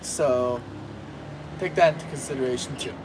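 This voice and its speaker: A man from Los Angeles, a guest on a livestream, talking in a relaxed, joking way. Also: mid-range voice, normal speed. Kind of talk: casual livestream chat. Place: apartment balcony by a busy street. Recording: outdoor street noise. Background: street traffic.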